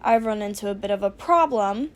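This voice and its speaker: A woman's voice: mid-range voice, expressive, brisk, voice pushed down, natural voice. A woman voicing an earnest, panicky male speedster hero in a fan fiction reading. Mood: flustered.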